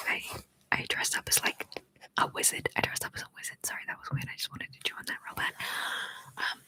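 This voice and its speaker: A woman's voice: pushed and bright, rough recording. A young woman, an ASMR creator, whispering as she rambles playfully, giggles and reads out viewer comments.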